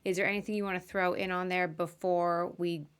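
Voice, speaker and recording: A woman's voice: lowish voice, flat delivery, fairly quick, clear, resonant tone. A woman hosting a podcast, chatting casually as she interviews her guest. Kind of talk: casual interview on a live video show.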